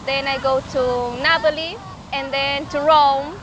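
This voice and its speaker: A woman from Chile with a Chilean Spanish accent, speaking simple, halting English outdoors in a city square as an interviewed traveler. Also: sits high, flat delivery, lo-fi sound. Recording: outdoor street noise behind.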